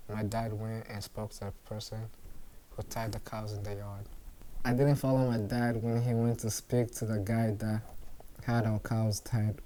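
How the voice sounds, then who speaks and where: Soft-spoken young man narrating his memoir. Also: lowish voice, slight background noise, low-quality recording. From Uganda. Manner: earnest, measured storytelling.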